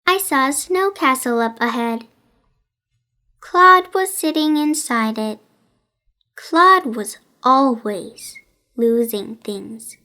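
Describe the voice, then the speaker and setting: A woman reading a children's story in expressive, careful, deliberate narration. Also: high-pitched. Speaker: children's audiobook reader.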